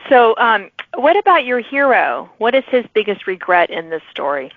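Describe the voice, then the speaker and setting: A woman's voice: fairly high pitch, very animated, normal speed, phone-quality sound. A woman hosting a podcast, asking interview questions in a mix of prepared wording and casual chat. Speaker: podcast host interviewing authors.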